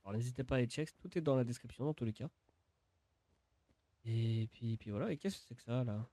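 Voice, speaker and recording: A man's voice: mid-range voice, a little fast, dark tone, no echo. A man giving casual, informal gameplay commentary as a video creator, often repeating and restarting his phrases.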